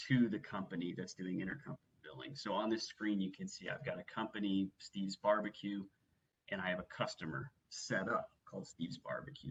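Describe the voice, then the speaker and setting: A man working methodically through a software walkthrough as a webinar trainer, explaining each step. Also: deep voice, highs cut off, a little hiss or hum. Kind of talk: instructional software walkthrough, semi-scripted presentation.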